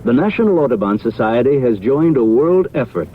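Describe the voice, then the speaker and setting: A man's voice: mid-range voice, big pitch swings, a little fast. A man reading a scripted 1970s radio public service announcement. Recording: tinny, faintly noisy vintage radio recording.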